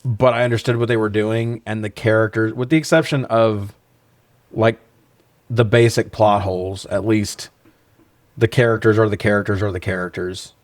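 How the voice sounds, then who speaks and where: A man's voice: lowish voice, steady tone, normal speed, no room sound. A man co-hosting a podcast, chatting casually and bluntly about films with plenty of swearing. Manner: profane, blunt. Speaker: podcast co-host. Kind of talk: casual film review chat.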